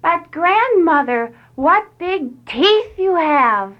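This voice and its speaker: A woman's voice: very high voice, big pitch swings, slow pace, pitched above the performer's own voice, exaggerated voice. A woman reading a storybook aloud, voicing an innocent, trusting little girl who speaks politely and naively. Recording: dull lo-fi storybook recording.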